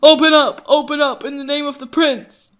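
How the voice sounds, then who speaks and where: Woman putting on a gruff, demanding guard captain. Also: sits high, steady tone, moderate pace, pitched above the performer's own voice, lightly acted. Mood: loud, demanding. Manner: commanding, curt. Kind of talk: visual novel read-aloud.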